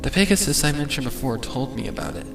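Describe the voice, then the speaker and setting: A man's voice: fairly high pitch, lively intonation, quick pace, gravelly, reverberant, over loud music, voice pushed up, poor audio. A man voicing an innocent, earnest young female pegasus pony in a fanfiction story reading.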